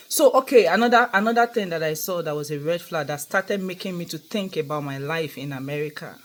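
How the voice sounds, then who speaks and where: A young Nigerian woman with a Nigerian accent, vlogging in a casual, earnest storytelling monologue and repeating points for emphasis. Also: lowish voice.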